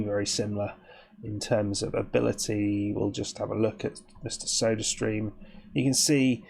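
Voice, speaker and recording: A man, croaky and sniffly with hay fever, giving rambling, chatty commentary on his game as host. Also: fairly low pitch, darkish tone. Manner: rambling, chatty, with frequent restarts. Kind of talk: casual gameplay commentary.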